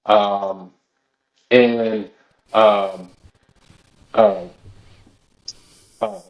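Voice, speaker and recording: Slow, hesitant man from New Orleans. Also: fairly low pitch, steady tone, gravelly, highs cut off. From New Orleans, Louisiana. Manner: slow, hesitant, many fillers. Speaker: guest.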